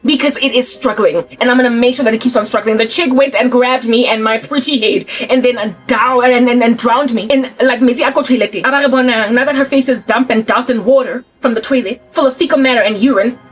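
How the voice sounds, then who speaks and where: High-voiced South African woman in her forties. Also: steady tone, fairly quick, hardly any pauses, slightly rough, faint music behind. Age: 40s. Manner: emphatic, colloquial, repeats and restarts words. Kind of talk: casual ranting monologue to camera.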